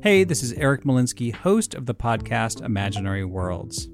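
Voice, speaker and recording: A male podcast host reads a scripted promo. His mid-pitched voice has plenty of creak, and guitar music plays clearly behind him. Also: very animated, moderate pace.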